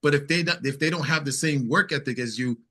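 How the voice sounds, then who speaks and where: A man's voice: on the high side, fast talker, bright, forceful tone. A man speaking as a musician guest in casual conversation, explaining things and checking for agreement.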